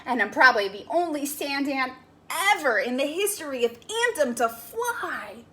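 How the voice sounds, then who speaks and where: A woman voicing a bold, curious young male sand ant in a storybook read-aloud, crying out with excitement. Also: high voice, moderate pace. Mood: excited. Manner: excited, breathless cries.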